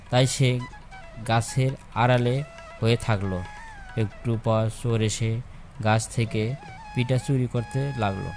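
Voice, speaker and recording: A man tells a children's story in a slow, scripted narration. His pitch is medium, and soft music runs behind him.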